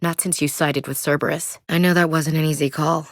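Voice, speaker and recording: An actress voicing a tough, blunt young female soldier in a video game, plainspoken and loyal, shouting short orders in combat. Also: low voice, little pitch movement, brisk, dry recording, clean studio sound. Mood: candid. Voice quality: plainspoken and direct. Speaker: squad soldier. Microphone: close studio mic.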